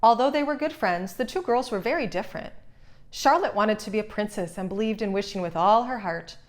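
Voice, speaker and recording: A woman's voice: mid-range voice, expressive, brisk. A woman narrating a scripted children's story as a read-aloud. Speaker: storybook reader.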